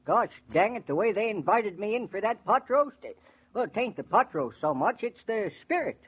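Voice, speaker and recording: An actor playing a kindly old rustic neighbour with a rural American accent and folksy, rambling speech in 1940s radio drama style. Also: male voice, high-pitched, sing-song, normal speed, fairly bright tone, husky, heavily acted. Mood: earnest, reflective. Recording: dull 1940s radio recording.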